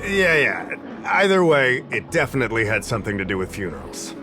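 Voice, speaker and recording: An actor playing an affable, easygoing investigative official in a video game, conversational and explanatory. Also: male voice, medium pitch, sing-song, a little fast, music in the background, lightly acted. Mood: casual, forgetful. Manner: conversational, slightly absent-minded, explanatory. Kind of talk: video game character acting.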